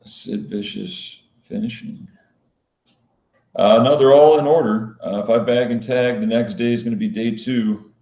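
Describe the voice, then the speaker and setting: A man chatting casually as a live-stream host, answering viewers in a monotone, flat and matter-of-fact manner. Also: mid-range voice, unhurried. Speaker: professional poker player and vlogger hosting a live stream. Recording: dull, lo-fi sound in echoey room.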